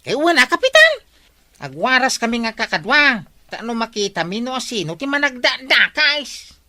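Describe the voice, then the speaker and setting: A woman with an Ilocano accent playing a brisk, cheeky member of a village night watch in a radio comedy. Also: on the high side, big pitch swings, sharp tone, dry recording. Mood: eager, reporting. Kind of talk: comedy radio drama acting.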